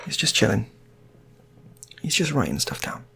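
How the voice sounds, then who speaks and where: A man's voice: on the low side, sing-song, moderate pace, creaky, bright, forceful tone, breathy edge. A man telling an improvised story in a casual, rambling way, often repeating his phrases. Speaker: storyteller, channel host.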